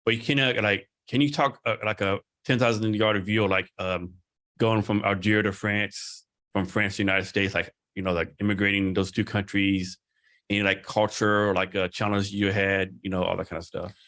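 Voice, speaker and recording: A man hosting a podcast interview, asking questions in a relaxed, conversational way. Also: fairly low pitch, normal speed. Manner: relaxed, conversational, uses "like" and "right?". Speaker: podcast host. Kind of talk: casual interview chat.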